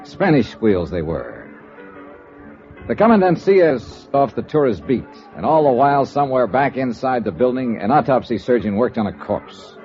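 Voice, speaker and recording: Radio detective lead, male actor: American, sardonic, clipped, mid-pitched with very animated inflection, 1950s muffled sound. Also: normal speed, faint music behind, lightly acted. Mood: wry, world-weary. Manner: wry, clipped, tough. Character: hard-boiled, sardonic male insurance investigator. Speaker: lead actor and first-person narrator.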